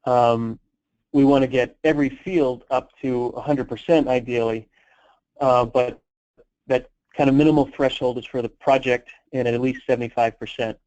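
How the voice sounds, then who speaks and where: A man's medium-pitched voice comes over a dull, lo-fi conference line. He keeps a flat, even intonation as he fields questions. His delivery is unscripted and halting, in the explanatory manner of a program briefing. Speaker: presenter answering callers' questions on a conference call. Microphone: telephone conference call.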